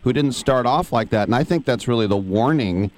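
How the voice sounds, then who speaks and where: A man's voice: mid-range voice, lively intonation, fairly quick. A man hosting a radio interview, talkative and enthusiastic, asking long questions. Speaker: radio host. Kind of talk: interview conversation.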